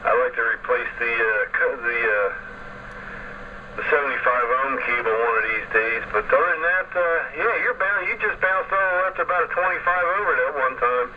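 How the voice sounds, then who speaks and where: Man, relaxed rambling ham operator, muffled lo-fi signal, loud static hiss. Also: mid-range voice, a little fast. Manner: relaxed, rambling, chuckles often. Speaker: amateur radio operator. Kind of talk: casual radio chat.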